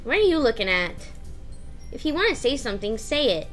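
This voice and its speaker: A moody, sharp-tongued young schoolgirl, played by a woman, high in pitch and animated in her irritation. Faint music sits behind the visual-novel dialogue. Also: a little fast. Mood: snappy, sour. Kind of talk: visual novel read-aloud character voice.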